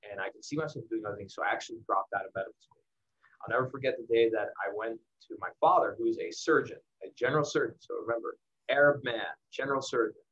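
An American man with a mid-pitched voice speaks as a guest on an online talk. He is candid and conversational, pausing for emphasis as he motivates young professionals. The audio is a little dull and lo-fi. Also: fairly bright tone, breathy edge. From El Paso, Texas, United States. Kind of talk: casual motivational talk to students. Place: indoors in the evening, online livestream.

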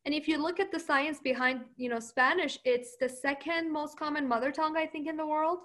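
A woman's voice: high voice, fairly even intonation, fairly quick, a bit bright. A woman whose first language is Persian, answering interview questions in a confident, upbeat way and laughing often.

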